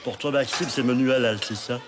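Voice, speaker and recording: A man's voice: mid-range voice, fairly even intonation, brisk, a crowd in the background, noisy background, natural voice. A man as a brusque cartoon canteen server. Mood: businesslike. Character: gruff, brusque male school canteen server. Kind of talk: animated children's comedy dialogue.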